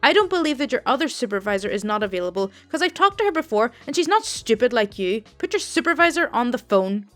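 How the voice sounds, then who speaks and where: Woman putting on a loud, rude old lady caller. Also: on the high side, sing-song, fast, few pauses, faint music behind. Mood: angry, insulting. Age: older. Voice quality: smoker's voice. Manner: loud, insulting, demanding. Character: rude elderly woman caller, smoker, belittling.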